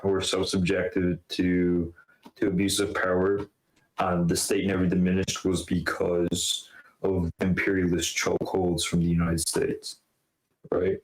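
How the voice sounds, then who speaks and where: An Irish man with a very deep, flat voice talks in a loose, rambling way, leaning on "like" and "uh" as he builds his point. His voice carries a roomy echo, as if he is recorded in a bare space. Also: measured pace. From Ireland. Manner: rambling, heavy filler words. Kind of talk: casual online debate chat. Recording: roomy echoey sound.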